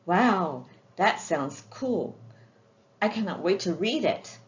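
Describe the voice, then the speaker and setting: A woman's voice: fairly low pitch, lively intonation, slow pace. A woman voicing a cheerful, curious and friendly little girl from a children's storybook. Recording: roomy, muffled, with faint background noise.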